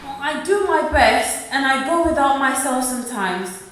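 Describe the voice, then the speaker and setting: A woman's voice: sits high, animated, moderate pace, a bit bright. A woman acting in a radio play as a poor, worn-down, protective mother who speaks plainly and pleadingly. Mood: guarded, resigned. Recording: very echoey room sound.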